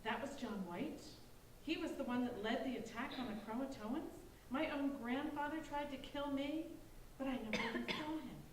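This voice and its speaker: A woman's voice: sits high, normal speed, lightly acted. A woman acting the vengeful, bitter ghost of a colonial English girl in a staged play reading, accusing as she tells her story. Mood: indignant. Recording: very echoey room, distant mic, clear noise.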